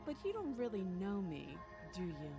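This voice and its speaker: A woman playing a captive princess in a visual novel, poised, persuasive and probing, with measured, challenging delivery. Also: mid-range voice, wildly swooping pitch, over music. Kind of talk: dramatic visual novel voice acting.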